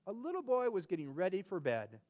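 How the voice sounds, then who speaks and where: A man's voice: high voice, huge pitch swings, brisk, lo-fi sound. A man hosting a children's storybook reading, acting out the dialogue and bantering casually as he goes. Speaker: host and storybook reader for children.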